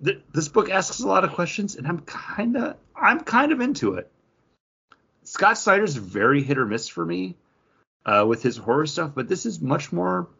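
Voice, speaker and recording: A man with a raspy, fry-laden voice chats casually as a podcast co-host. He thinks aloud haltingly while reviewing comics, and the audio is a little muffled. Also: fairly high pitch, big pitch swings, sharp tone.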